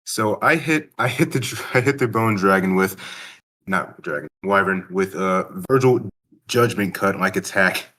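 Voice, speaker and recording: A man with a low voice talks casually as a player in a tabletop game. He speaks haltingly, thinking out loud.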